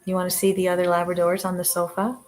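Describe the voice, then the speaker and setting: A woman hosting a casual livestream from her living room, talking gently with a soft voice that trails off and plenty of hesitant restarts. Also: lowish voice, monotone, fast talker. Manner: hesitant, many fillers and restarts. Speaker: livestream host with her dogs. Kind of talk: casual live chat to viewers. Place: living room, on the sofa with dogs.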